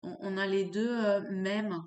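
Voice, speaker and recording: Slow, halting woman reading tarot cards. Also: mid-range voice, steady tone, a little mellow, echoey room, low-quality recording. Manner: halting, searching for words with many fillers. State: has a cold. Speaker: tarot card reader. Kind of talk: unscripted tarot reading to camera.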